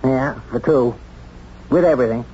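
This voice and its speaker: A man's voice: mid-range voice, dark tone, close to an everyday voice. Folksy sheriff on muffled old radio, played by a man. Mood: brisk, steady. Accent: American. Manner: folksy, halting, candid. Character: plain-spoken, self-doubting, lovestruck small-town sheriff, adult man. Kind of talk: 1970s radio drama acting. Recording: dull, noisy 1970s radio drama recording.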